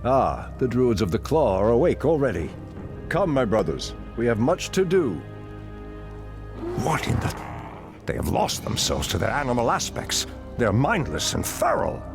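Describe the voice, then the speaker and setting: An actor playing a stern, ancient night elf druid leader, grave and commanding, in a video game cutscene. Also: male voice, mid-range voice, very animated, a little slow, gravelly. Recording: music behind.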